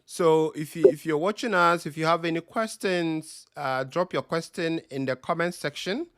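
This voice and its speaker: A man with a Ghanaian accent hosting a live stream Q&A over a video call, talking slowly with many ums and uhs. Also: fairly high pitch, no echo, clean studio sound. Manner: slow, relaxed with frequent ums and uhs. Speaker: host.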